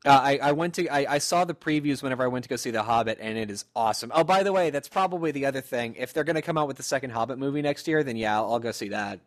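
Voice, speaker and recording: A young man co-hosting a casual podcast chat, animated and telling stories with acted-out quotes. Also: medium pitch, rapid-fire, few pauses, dry recording. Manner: animated storyteller, acts out quotes. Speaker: podcast co-host.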